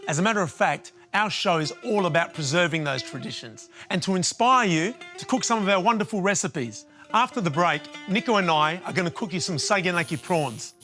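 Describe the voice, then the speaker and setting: Chatty cooking show host. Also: male voice, sits high, lively intonation, brisk, hardly any pauses, bright, forceful tone, soft background music. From Australia. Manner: chatty, encouraging, matey. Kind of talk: cooking show presenting and narration.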